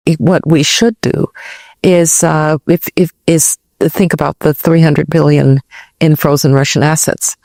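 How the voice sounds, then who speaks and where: A woman's voice: deep voice, fairly even intonation, measured pace, vocal fry, a little mellow, no room sound. A woman politician giving firm, decisive interview answers.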